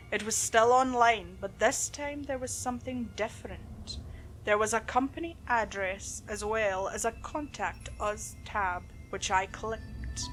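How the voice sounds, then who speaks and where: A woman storyteller reading a horror tale in a dramatic, suspenseful, deliberate way, with music behind her. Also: medium pitch, a bit bright, poor audio. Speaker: storyteller, channel host. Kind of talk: dramatic scripted horror narration.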